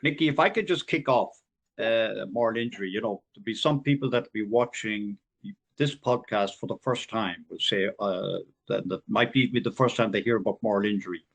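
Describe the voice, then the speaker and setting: A man's voice: on the low side, a little fast, gravelly, husky. A man hosting a podcast from Ireland, talking in a rambling, informal way with many fillers. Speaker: podcast host. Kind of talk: podcast interview.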